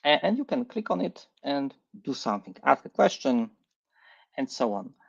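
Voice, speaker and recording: A middle-aged man with a Polish accent gives a live tech presentation, speaking haltingly and repeating words. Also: medium pitch, very animated, creaky, slightly rough, dull audio. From Poland. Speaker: guest presenter. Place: online livestream session.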